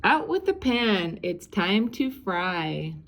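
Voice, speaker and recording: Woman doing a picky hungry king from a kids book, slow and bossy. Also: mid-range voice, very animated, a bit bright, heavily acted. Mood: kindly. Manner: demanding, picky. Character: hungry, fussy storybook king.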